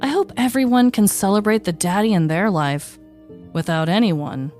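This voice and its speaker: A woman's voice: mid-range voice, normal speed, a little mellow, soft background music. A woman hosting a podcast, moving between scripted true-story narration and casual chat.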